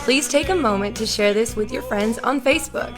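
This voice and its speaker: A woman's voice: a little high, a little fast. Friendly woman announcer over music. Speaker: show announcer. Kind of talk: scripted welcome announcement. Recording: music playing behind.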